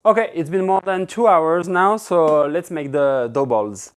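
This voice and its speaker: A man with a French accent, a native French speaker from France, giving a relaxed, informal step-by-step cooking demonstration as an instructor in a kitchen. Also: on the high side, unhurried, slight background noise. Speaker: pizza-making instructor. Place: indoor kitchen with stone counter.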